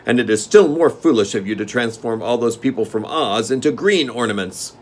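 A man voicing a gruff male gnome steward who growls and scolds his master bluntly. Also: medium pitch, animated, fairly quick, pitched above the performer's own voice, natural voice. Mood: gruff, scolding.

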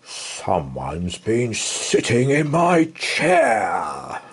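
A big father bear growls each word slowly and heavily, as a man puts him on. The voice is low and husky, a gruff storybook papa bear. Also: huge pitch swings, full character voice. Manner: growling, slow.

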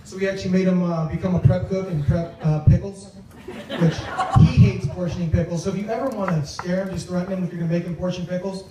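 Young man, best man's wedding speech, humorous, halting, handheld microphone, distant echoey recording, background noise. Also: sits high, fairly even intonation. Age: young adult. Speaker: best man, brother of the groom. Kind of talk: wedding toast, humorous storytelling. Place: large wedding reception tent. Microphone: handheld microphone over speakers, filmed from a few metres away.

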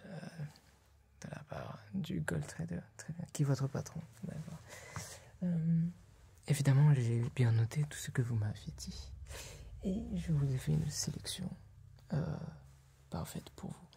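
A young man performing a soft-spoken, partly whispered ASMR roleplay as a polite, formal gentleman tailor, hesitant and courteous. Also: mid-range voice, expressive, unhurried. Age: young adult. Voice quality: breathy, whispery.

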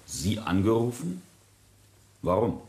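A rich businessman under accusation in a radio play. His voice is deep, and he talks with bitter, earnest intensity. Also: wildly swooping pitch, slow pace, a little mellow, exaggerated voice. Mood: defensive, disbelieving. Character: accused wealthy businessman, bitter, regretful husband and father. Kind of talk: German radio drama acting.

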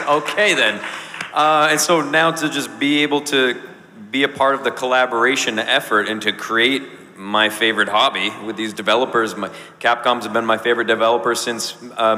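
A man's voice: medium pitch, normal speed, sharp tone, slight background noise. A man of forty talking casually as a voice actor on a convention panel, into a handheld microphone. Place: large convention stage, indoors. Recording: echoey large hall.

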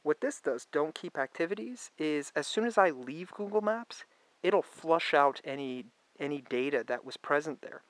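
A man's voice: on the high side, lively intonation, moderate pace, a bit bright, no room sound. A man with a stuffed-up, congested voice, casually talking through phone settings in a hands-on review, thinking aloud and often restarting. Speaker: tech reviewer.

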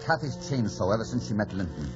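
An actor playing a brooding, passionate lower-class young lover in a radio drama, with intense dramatic delivery. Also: male voice, very animated, fast talker. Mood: pleading, earnest. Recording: dull old radio broadcast with music.

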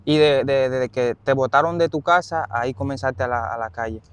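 A man conducting a casual interview outdoors, phrasing his questions in a halting, roundabout way. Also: mid-range voice, smooth, no echo. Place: outdoors in an open park.

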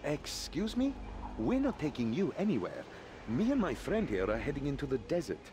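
A brisk young video game adventurer with a fairly high, very animated voice. He talks in a chatty, wry, informal way. Clear background noise runs behind him. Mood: baffled, exasperated.

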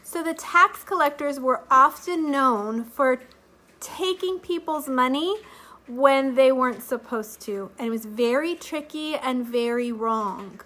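A woman's voice: high-pitched, expressive, unhurried, bright, forceful tone. A woman in her thirties, a little stuffy from allergies, giving a children's Bible lesson to camera in a sing-song teacher voice, recorded close up at home. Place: living room at home. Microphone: webcam or phone camera at close range.

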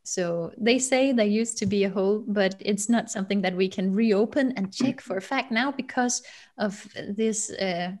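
A woman's voice: mid-range voice, normal speed, a little mellow. A woman in her thirties explaining as a panelist on a webinar video call. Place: indoor home or office room, on a video call.

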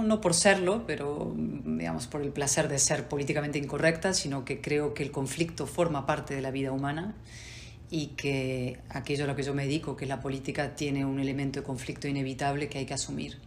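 A woman's voice: sits low, slight background noise. A woman in her forties, from Spain and raised in Buenos Aires and London, answering interview questions over a video call in an articulate, assertive way. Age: 40s. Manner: articulate, assertive, measured with pauses. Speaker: politician guest. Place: home study with bookshelves.

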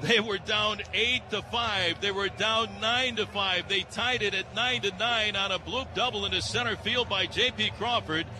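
An older man calling a baseball game live on radio, shouting excited play-by-play with pet catchphrases. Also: sits high, normal speed, few pauses, noisy background.

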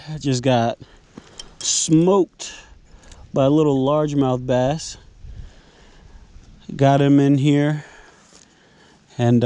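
A man's voice: on the high side, dark tone. A young man chatting casually as he fishes from a kayak on a lake, talking aloud about his day, recorded on a chest-mounted action camera. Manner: laid-back, chatty, thinking aloud. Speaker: fishing vlogger. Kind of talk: casual outdoor vlog. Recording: faint wind and water noise.

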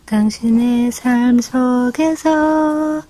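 Woman singing a soft lullaby, high voice, warm and mellow. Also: quick pace, low-quality recording. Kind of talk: gentle sung lullaby.

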